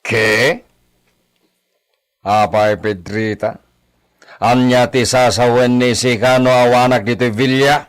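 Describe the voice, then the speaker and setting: A man's voice: mid-range voice, fairly even intonation, slow, long pauses, clear, resonant tone, lightly acted. A man playing a wealthy, doting father and villa master in a radio comedy drama, theatrical and fond of Spanish exclamations. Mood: questioning.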